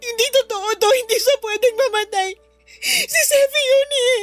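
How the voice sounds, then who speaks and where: An actress playing a young, grief-stricken daughter in a radio drama, halting, tearful and pleading in denial. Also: female voice, very high voice, soft background music.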